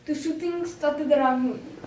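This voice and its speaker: A woman performing a dramatic monologue as a frightened, anguished young wartime girl, emotive and deliberate in delivery. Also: high-pitched, steady tone, warm tone, slight background noise. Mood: frightened, grim. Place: indoor room, recorded from a distance. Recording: roomy echo, distant microphone.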